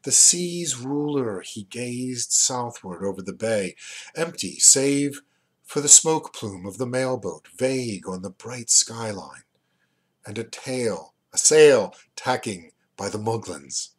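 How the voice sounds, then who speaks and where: A man in his fifties reads literary fiction aloud in a deliberate, expressive way, pausing between phrases. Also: medium pitch, husky. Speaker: reader, poet and rare book seller. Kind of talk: literary audiobook-style reading of a novel. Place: small furnished room at home, indoors. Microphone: webcam or computer mic at desk distance.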